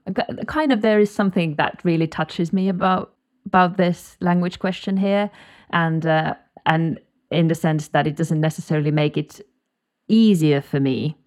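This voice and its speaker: Finnish native speaker, interviewer, thoughtful, gravelly voice. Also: mid-range voice, darkish tone. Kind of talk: interview questions.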